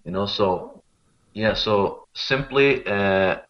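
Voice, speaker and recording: A man's voice: fairly low pitch, slow. A man from Sweden, explaining things informally and conversationally as an interview guest. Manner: informal, explanatory, often checking with "you know". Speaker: interview guest, local expert on Rapa Nui. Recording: dull, lo-fi call-like audio.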